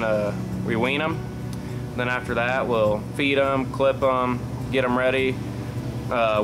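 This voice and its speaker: A man's voice: medium pitch, noisy background. A young man in his late teens from Texas, a junior cattle exhibitor, talking matter-of-factly about show results and prep routines in a barn, on a lapel mic. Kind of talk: interview monologue. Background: background music.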